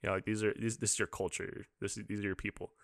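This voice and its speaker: A man's voice: lowish voice, little pitch movement, fast, vocal fry, dry recording, studio quality. A young man from Liverpool with an American accent, hosting a podcast in an enthusiastic, casual way. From Liverpool, England. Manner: enthusiastic, casual, says dude a lot. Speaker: podcast host. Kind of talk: casual interview chat.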